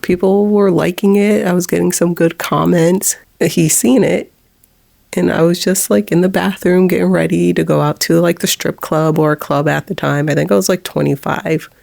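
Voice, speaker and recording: Woman, 40s, low monotone gravelly voice; halting, fighting back tears in a confessional vlog. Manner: halting, with nervous laughs. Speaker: vlogger.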